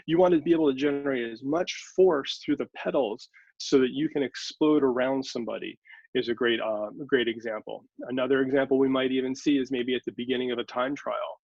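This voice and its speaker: A man's voice: medium pitch, vocal fry, dry recording. Teacherly man on dry, dull audio. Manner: teacherly, walks through examples step by step. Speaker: sports scientist guest. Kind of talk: explanatory interview answers.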